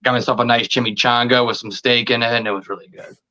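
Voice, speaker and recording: A man in his thirties chatting casually as a podcast guest, halting and often repeating his words and phrases. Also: mid-range voice, fairly even intonation, pushed and bright, muffled recording. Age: 30s. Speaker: podcast guest, video creator. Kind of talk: casual interview chat.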